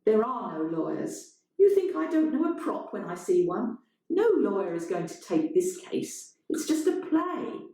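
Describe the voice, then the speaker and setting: A very echoey room carries the voice of a woman reader playing a bossy female theatre director in a short story. She is curt, scornful and fed up, with a soft-edged, mellow tone. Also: mid-range voice, very animated, unhurried, voice pushed up.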